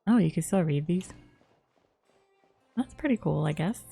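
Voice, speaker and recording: A woman's voice: on the low side, lively intonation, unhurried, gravelly, dark tone, breathy edge. A young woman giving casual live commentary as a game streamer, musing in an informal, rambling way. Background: faint game music.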